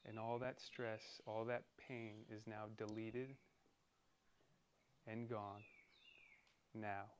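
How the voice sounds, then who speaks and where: A man leading a guided meditation in a soothing, deliberate manner, pausing between short phrases. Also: lowish voice, level tone, slight background noise.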